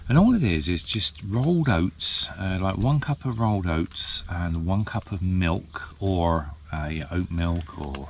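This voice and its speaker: A man in his fifties, chatting casually to the camera outdoors in a field, relaxed and rambling with plenty of ums. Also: deep voice, measured pace, few pauses, slight background noise. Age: 50s. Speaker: vlogger. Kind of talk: casual vlog chat.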